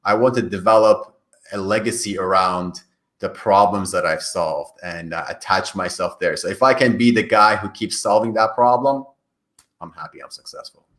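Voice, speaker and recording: Male interview guest, coach type. Native Farsi, from Iran. Deep-leaning pitch, emphatic explainer, reverberant room sound. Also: steady tone, normal speed, fairly bright tone. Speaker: career coach, podcast guest. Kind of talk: conversational interview answers.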